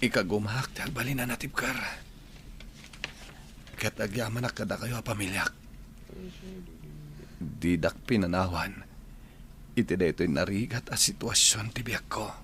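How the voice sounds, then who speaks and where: A man's voice: on the low side, unhurried, long pauses, natural voice. Grieving widower played by a man, noisy recording. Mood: sorrowful, grateful. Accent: Ilocano Filipino. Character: grieving widowed son, sorrowful and devoted to his wife. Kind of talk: Ilocano radio drama acting.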